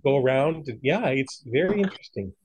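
A man's voice: mid-range voice, lively intonation, brisk, a little mellow, breathy edge, low-quality recording. A man in casual conversation, talking in an opinionated, emphatic way. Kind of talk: casual chat.

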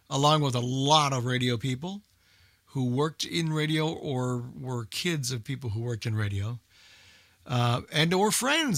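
Bright-voiced man chatting, close and dry. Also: mid-range voice, dry recording. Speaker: old-time radio show regular and guest. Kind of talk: casual group chat.

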